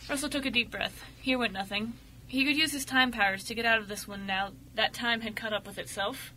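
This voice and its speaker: A woman narrates a radio drama in a bright, fairly high voice. She reads the script expressively, leaning on key words, with faint noise in the amateur recording. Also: a little fast, rough recording. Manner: expressive, emphatic.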